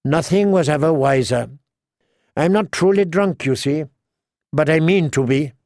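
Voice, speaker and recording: A sardonic dwarf lord delivers bitter, mocking lines with dry wit, as a male narrator voices him. His gravelly voice sits a little high for a man, recorded close and dry. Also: normal speed, darkish tone, no echo, close to an everyday voice. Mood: weary wry.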